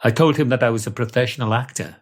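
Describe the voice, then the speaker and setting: A Welsh man telling a comic first-person story in a deadpan, wordy way. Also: medium pitch, fast talker.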